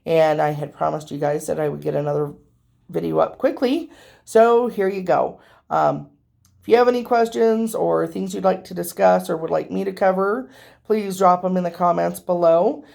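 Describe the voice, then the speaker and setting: A woman talks casually to her viewers in a low, gravelly voice that has gone hoarse and a little nasal from allergies. She rambles chattily, with plenty of ums along the way. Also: moderate pace, darkish tone. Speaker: tarot reader and channel host. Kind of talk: casual vlog talking to viewers.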